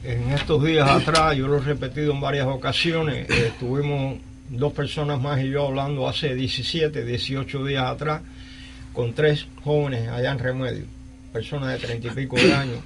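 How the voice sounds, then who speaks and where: An older man with a Cuban accent hosting a live radio talk show, speaking haltingly and repeating phrases. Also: mid-range voice, fairly even intonation, creaky, fairly bright tone. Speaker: radio host. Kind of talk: live call-in talk, recounting and interviewing.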